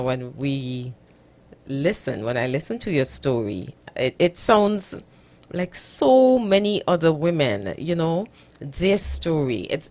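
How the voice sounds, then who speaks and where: A woman hosting a conversational radio interview, speaking haltingly and repeating her phrases. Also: extremely low pitch, darkish tone. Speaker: radio show host.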